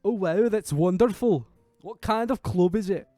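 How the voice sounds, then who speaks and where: A man's voice: high voice, moderate pace, warm tone, dry recording, faint music behind, voice pushed up, lightly acted. A man voicing a fussing, affectionate middle-aged Scottish mother in a visual novel, chiding and doting.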